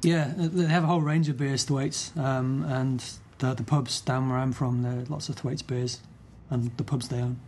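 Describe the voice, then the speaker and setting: A man from northern England hosting a relaxed chat and asking his guest questions. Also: mid-range voice, moderate pace, few pauses, a little hiss or hum. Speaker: podcast host. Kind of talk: casual chat.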